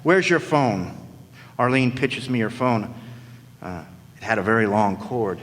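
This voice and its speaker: A man's voice: on the low side, animated, unhurried. Man acting a sardonic hard-boiled detective, echoey hall. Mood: brisk, flippant. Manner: sardonic, slangy wisecracks. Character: wisecracking, world-weary hard-boiled male private detective. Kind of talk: 1940s radio noir acting, first-person narration. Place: live stage performance in a hall. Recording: echoey hall, background noise.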